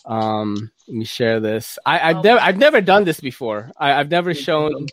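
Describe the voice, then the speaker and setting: A man's voice: a little high, lively intonation, moderate pace, no room sound. A man in his forties chatting casually and loosely on a video call, full of slang and fillers. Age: 40s. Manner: slangy, laid-back, lots of fillers. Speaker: host sharing his screen.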